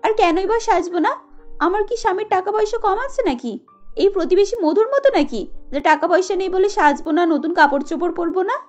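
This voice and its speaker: A conceited young rich wife acted by a woman from a cartoon tale. Her voice is very high, with a mocking, bragging manner. Soft music plays behind her. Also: fast. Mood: boastful, impatient. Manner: haughty, taunting. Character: arrogant rich modern young daughter-in-law, mocking and boastful.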